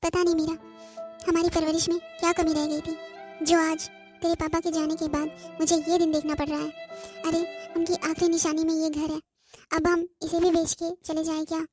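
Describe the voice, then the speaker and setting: Plaintive, high-voiced widowed mother acted by a woman. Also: little pitch movement, normal speed, a little mellow, faint music behind. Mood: grieving. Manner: plaintive, lamenting. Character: sorrowful widowed mother of grown children, wounded, gentle.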